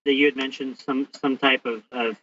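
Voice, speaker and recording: Bright-voiced man podcast host, off-mic. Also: medium pitch, level tone, normal speed, recorded from a distance. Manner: hesitant, many restarts and fillers. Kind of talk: interview questions. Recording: hissy lo-fi audio, off-mic.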